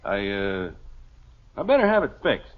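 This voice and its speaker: Flustered american radio sitcom dad, stammers and blusters, voice all over, muffled noisy old recording. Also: male voice, lowish voice, wildly swooping pitch, unhurried. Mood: casually evasive. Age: middle-aged. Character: evasive, flustered middle-aged suburban husband and father. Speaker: actor in a radio situation comedy. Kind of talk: old-time American radio comedy acting.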